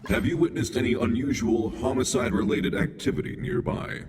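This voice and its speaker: A man's voice: mid-range voice, wildly swooping pitch, brisk, a little mellow, echoey room. A man voicing a solemn, formal robot hunter in a video game, with robotic processing on the voice. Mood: solemn, matter-of-fact. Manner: formal, clipped, literal. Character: stilted, solemn robot beast-master hunter.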